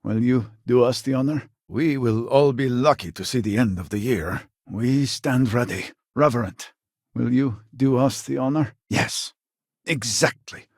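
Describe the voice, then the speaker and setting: An actor playing a weary, devout male scientist in a sci-fi video game, speaking in a formal, measured, earnest way with a husky voice. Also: medium pitch, a little mellow, no echo, clean studio sound. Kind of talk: scripted video game character dialogue.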